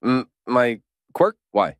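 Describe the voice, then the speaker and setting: A man's voice: mid-range voice, expressive, slow, gravelly, smooth, dry recording, studio quality, pitched above the performer's own voice. A man voicing a stubborn, protective teenage boy in a fanfiction audiobook, pressing insistently with questions. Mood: shaken. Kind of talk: podfic voice acting.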